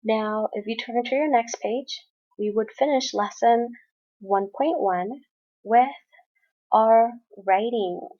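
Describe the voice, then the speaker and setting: A woman's voice: a little high, takes it slowly, warm tone, dull audio. A woman teaching a college English class, explaining exercises step by step in a recorded lesson. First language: Spanish. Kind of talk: recorded screen-share lesson.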